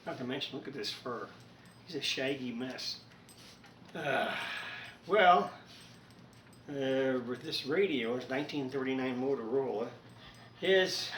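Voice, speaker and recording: A man chatting casually in an unhurried, rambling, folksy way, as a hobbyist host of a home repair video recorded indoors. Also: a little high, fairly bright tone, a little hiss or hum.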